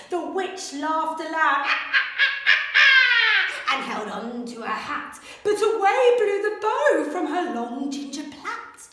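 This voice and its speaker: A woman teacher reads a rhyming children's story aloud in a bright, lively voice that swoops up and down. She takes it at an unhurried pace, and the echoey room rings around her. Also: very high-pitched, recorded from a distance. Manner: expressive, animated storytelling.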